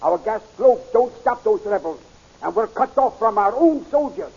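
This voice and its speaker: An actor playing a grave older scientist in an old radio serial, husky and formal. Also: male voice, sits high, expressive, a little mellow, full character voice. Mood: urgent. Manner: formal, deliberate, urgent when ordering. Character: grave older male scientist, hero's loyal companion. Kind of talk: 1930s radio serial acting. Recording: muffled old radio recording.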